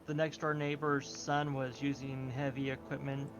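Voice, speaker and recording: A man's voice: medium pitch, level tone, normal speed, dull audio. A man chatting on a game stream, matter-of-fact in tone and fond of dry puns. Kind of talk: casual chat during a game stream. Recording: game music behind voice. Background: game music.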